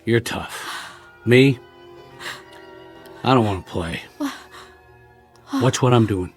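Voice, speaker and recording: An actor playing a world-weary, wary soldier who survived a war, talking in a colloquial, rambling way in a radio drama. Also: male voice, mid-range voice, slow, soft background music. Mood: resigned, wry.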